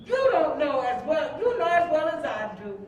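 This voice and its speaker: Woman acting very high-pitched, pleading mother. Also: very animated, moderate pace. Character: worried, protective mother and preacher's wife, standing her ground. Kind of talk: staged play reading before a live audience. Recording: distant echoey hall recording, faint background noise.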